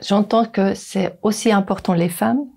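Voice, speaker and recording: Calm woman asking interview questions. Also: mid-range voice, measured pace, creaky, slightly rough.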